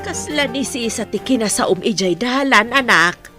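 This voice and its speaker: A woman's voice: fairly high pitch, animated, unhurried, music in the background. A woman acting a prodding older village mother in an Ilocano radio drama.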